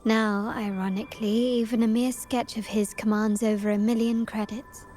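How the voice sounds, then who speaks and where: A poised, enigmatic woman, as an actress plays her who speaks in a measured, elegant way with a teasing edge and a slightly high voice. Game score plays clearly beneath her lines. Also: little pitch movement, dark tone, close to an everyday voice. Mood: reflective. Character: poised, enigmatic adult woman, knowing and cryptic. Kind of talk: game cutscene voice acting. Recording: music score behind.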